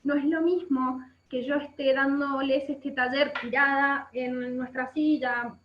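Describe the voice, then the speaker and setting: A woman's voice: high-pitched, little pitch movement, measured pace. A woman with an Argentine accent giving an explanatory online workshop, often checking in with short questions, over a video call. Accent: Argentine Spanish. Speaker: workshop instructor. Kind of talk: instructional webinar talk. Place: indoor room by a window. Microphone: webcam video call. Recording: muffled, echoey video-call audio.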